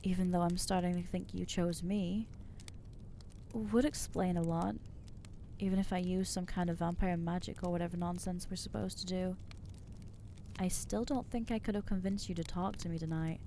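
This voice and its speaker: A woman's voice: on the low side, steady tone, normal speed, warm tone, slight background noise, lightly acted. A woman voicing an anxious, self-loathing young female vampire in an ASMR audio roleplay, talking hesitantly and putting herself down. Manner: hesitant, self-deprecating, trailing off.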